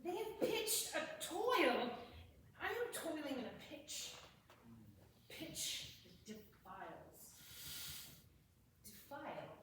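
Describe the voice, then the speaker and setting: A woman's voice: sits high, huge pitch swings, slow, long pauses, exaggerated voice. A woman playing a witty, self-mocking young lord reluctantly in love, in a theatrical, exclamatory Shakespearean comedy reading. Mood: musing. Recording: echoey room from far off, faint noise.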